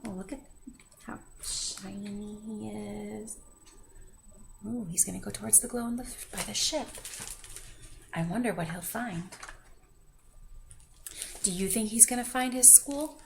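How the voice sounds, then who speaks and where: A woman reading a children's picture book aloud expressively, with questioning asides to young listeners and drawn-out emphasis. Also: mid-range voice, gravelly, a little hiss or hum. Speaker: children's story reader.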